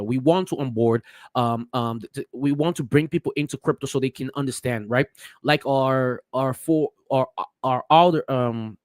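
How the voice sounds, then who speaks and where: A man whose first languages are Papiamento and Spanish, explaining crypto trades in a halting way with many restarts as a vlogger. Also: medium pitch, dry recording, clean studio sound. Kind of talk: casual screen-share talk.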